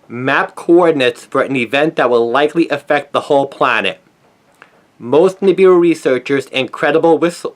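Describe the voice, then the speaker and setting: A man narrates a news story, reading from a written script in a scripted style. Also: fairly high pitch. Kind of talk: scripted narration, read aloud.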